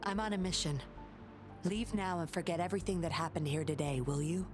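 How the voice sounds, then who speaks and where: A woman playing a composed, sly undercover patroller in a video game, coolly confident and measured. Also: fairly low pitch, natural voice. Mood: serious, cool. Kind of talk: scripted video game voice acting. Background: game music.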